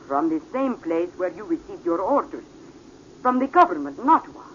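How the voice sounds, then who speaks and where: A woman's voice: on the low side, very animated. An actress playing a worldly, passionate Italian woman with an Italian accent, speaking formally and theatrically in a vintage radio drama. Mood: grave, insistent. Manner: theatrical, deliberate, formal foreign phrasing. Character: worldly Italian woman, mature, passionate, secretly protective mother. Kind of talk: 1940s radio drama acting. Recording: muffled, noisy vintage radio recording.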